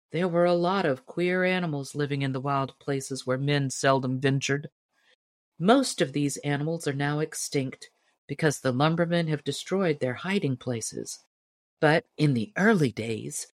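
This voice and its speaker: A woman narrating a storybook tall tale in a measured, expressive storytelling manner. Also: low-pitched, dry recording, studio quality. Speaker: audiobook reader and storyteller.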